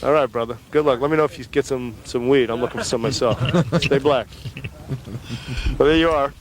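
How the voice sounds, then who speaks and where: A man's voice: medium pitch, fairly quick, crowd noise. A man doing an irreverent, slangy street interview for radio, needling with his questions on a field microphone. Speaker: roving radio reporter. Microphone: handheld field microphone. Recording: faint street noise, outdoor field microphone.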